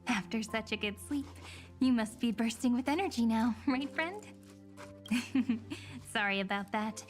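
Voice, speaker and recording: An actress playing a gentle, playful young female sage in video game dialogue, with a soft, poetic storytelling manner. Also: on the high side, measured pace, lightly acted. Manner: soft, breathy, teasing, storytelling. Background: soft game music.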